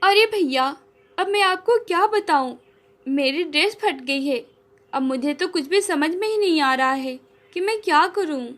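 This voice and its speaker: Cartoon customer role: young, well-off city woman, played by a woman, very high, animated, gushing, sprinkles English. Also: a little slow, exaggerated voice. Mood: flustered. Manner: gushing, drops English words.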